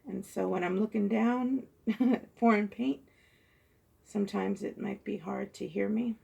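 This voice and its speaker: A woman with a hoarse, froggy voice chatting softly as she pours and tilts acrylic paint, recorded on a phone mounted above her table. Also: medium pitch, steady tone, a little slow, muffled recording. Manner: soft-spoken, chatty, muttering while working. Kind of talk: casual narration while painting. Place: indoor craft table. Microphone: phone held high above the table.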